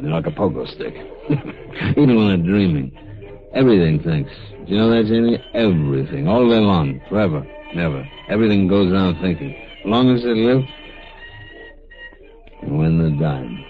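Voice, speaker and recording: A man's voice: sits low, big pitch swings, normal speed. A man playing a desperate, drunken, bullying man on the run in a 1950s radio drama, agitated and slangy. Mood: drunken, brooding. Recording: muffled old radio recording, music behind.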